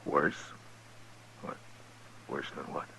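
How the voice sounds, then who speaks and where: Gruff american western marshal, low forceful voice, bossy and blunt, old radio show with some noise. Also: male voice, slow. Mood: hushed, grave. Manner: commanding, blunt. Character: stern, gruff frontier lawman, a US marshal. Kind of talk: 1950s radio western acting. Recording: noisy old radio drama recording.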